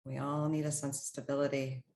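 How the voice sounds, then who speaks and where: A woman with a low, level voice guides a meditation. She speaks in soothing, short phrases and leaves space between them. Her intonation stays very even throughout. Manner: slow, pausing, soothing instructional delivery in short phrases.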